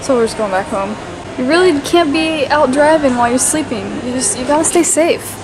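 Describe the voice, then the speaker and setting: A woman's voice: high-pitched, fairly quick, crowd noise, poor audio. A tired woman chatting casually to her vlog viewers. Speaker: vlogger. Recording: clear car and street noise.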